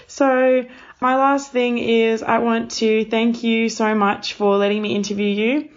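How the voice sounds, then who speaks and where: A woman's voice: on the high side, little pitch movement, a little slow, highs cut off. A woman hosting a casual interview, talking in an encouraging, rambling, conversational way.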